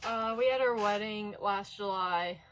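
A young woman giving a casual, relaxed tour of her small wooden house, chatting with fillers and little laughs, filmed on a handheld phone indoors. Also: medium pitch, measured pace.

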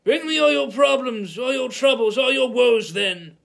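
A man voicing a selfish young male wizard who yells and shrieks in rage. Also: animated, normal speed, gravelly, exaggerated voice. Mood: frantic. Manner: shouting, shrill when raging.

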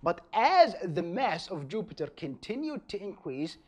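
A man in his thirties from Bahrain with an Arabic accent, a native Arabic speaker, explaining science to camera in an emphatic, animated way. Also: high-pitched, a little slow. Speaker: science video host.

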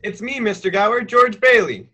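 Twelve-year-old boy, teenage male actor: very high, bright, forceful voice, cocky yet polite, radio play delivery. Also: expressive, normal speed, highs cut off, exaggerated voice. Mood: cheerful, boastful.

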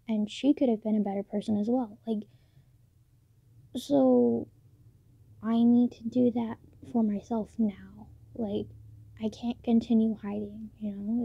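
A teenage woman talking softly late at night in her bedroom, halting with many fillers, recorded on a built-in mic. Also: fairly high pitch, gravelly, slightly rough, no echo. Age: late teens. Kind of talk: late-night personal vlog. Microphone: phone or camera built-in mic, close. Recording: dull lo-fi built-in mic, dry.

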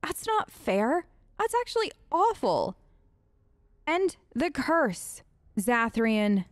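Female let's play host, fairly high voice, conversational improvised role-play, close and dry. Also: very animated, slow pace, no room sound. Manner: chatty, conversational.

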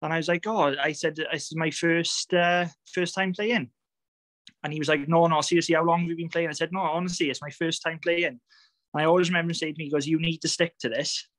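A Welsh man in his thirties tells his story in easy, chatty talk, with frequent laughs and plenty of ums. His voice sits a little high for a man. The sound is close and dry, as over a video call. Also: steady tone, fast, fairly bright tone, no room sound. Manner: chatty, laughs often, many fillers. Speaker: podcast guest, greenkeeper. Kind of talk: casual storytelling chat.

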